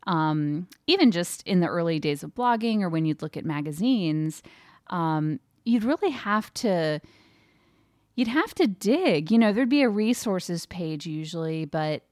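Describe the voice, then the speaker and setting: A woman co-hosts a podcast in a low-pitched voice. She talks in a casual, conversational way. The recording is dry, with very little room around her voice. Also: lively intonation, unhurried, a little mellow, dry recording. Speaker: podcast co-host.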